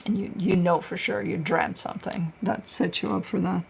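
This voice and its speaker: A woman's voice: lowish voice, fairly even intonation, moderate pace, creaky, a little hiss or hum. A woman, a tarot reader chatting casually on a livestream, telling stories and answering viewer questions. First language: Romanian.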